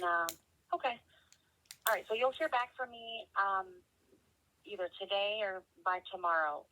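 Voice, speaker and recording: A woman working as a customer service representative on a telephone call, speaking haltingly as she thinks aloud and checks details. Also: medium pitch. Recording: dull, band-limited telephone line.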